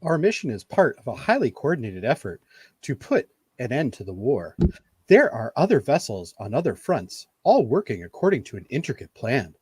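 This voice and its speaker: A man playing a hard-line starship commander with a rough voice in an amateur sci-fi radio play. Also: medium pitch, very animated, moderate pace, darkish tone, dry recording. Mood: firm, urgent. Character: hard-line military commander justifying a war mission.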